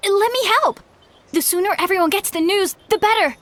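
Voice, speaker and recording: A woman voicing an earnest young warrior in training in a game, lively and quick to surprise. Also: extremely high pitch, over a noisy crowd.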